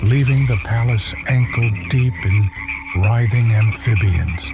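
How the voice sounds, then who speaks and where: A man giving scripted, theatrical first-person narration for an audio drama in a solemn, measured manner. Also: mid-range voice, heavy background noise. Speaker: narrator and voice actor. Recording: prominent music behind. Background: music.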